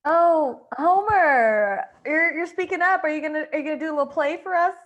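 High-voiced woman as gushing party hostess. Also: brisk, dull audio, lightly acted. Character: gushing, condescending female dinner party host. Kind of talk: improvised comedy sketch.

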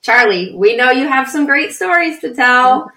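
A woman's voice: high voice, expressive, normal speed, pushed and bright. A woman co-hosting a podcast who reads the guest's biography and then asks him a question. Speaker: podcast co-host. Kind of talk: reading a guest bio. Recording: roomy echo, distant from mic.

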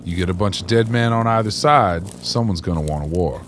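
Secretive, battle-worn soldier male actor, very low voice, blunt and folksy. Radio play with faint noise. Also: fairly quick, close to an everyday voice. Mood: grim, insistent. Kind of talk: radio drama acting.

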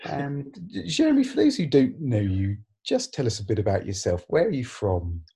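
A man's voice: mid-range voice, huge pitch swings, normal speed, warm tone, breathy edge. A middle-aged man hosting a casual church podcast interview, asking questions and adding short reflections.

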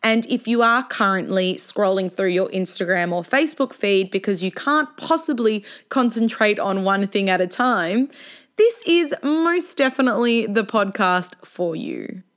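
A woman's voice: mid-range voice, animated, unhurried, a bit bright. A woman in her twenties hosting her own podcast, reading a scripted narration in a candid, self-deprecating way. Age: 20s. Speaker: podcast host.

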